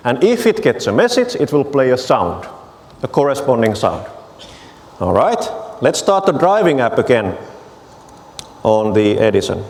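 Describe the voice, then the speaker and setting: A man on a conference stage giving a live tech demo, talking through each step in a relaxed way as he works. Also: medium pitch. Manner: relaxed, narrating steps while typing. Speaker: conference speaker. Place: large conference hall stage. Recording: reverberant hall, faint noise.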